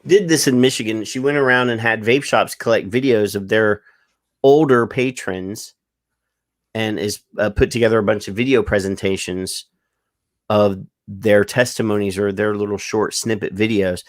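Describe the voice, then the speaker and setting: A man's voice: fairly low pitch, steady tone, moderate pace. A man hosting a casual podcast chat, telling rambling stories with lots of fillers. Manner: rambling storyteller, many fillers. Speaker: podcast host.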